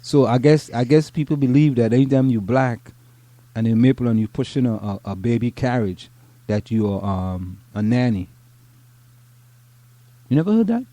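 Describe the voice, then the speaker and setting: A middle-aged man from Trinidad and Tobago with a Trinidadian accent, co-hosting a casual talk show, reading a news article aloud and then chatting, often halting and repeating words. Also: mid-range voice, lively intonation, warm tone, no room sound. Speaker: podcast co-host.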